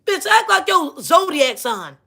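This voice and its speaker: A woman's voice: extremely high pitch, big pitch swings, a little slow, gravelly, sharp tone. A woman with a Missouri accent, ranting casually to camera, heated and profane, repeating herself. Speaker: vlogger.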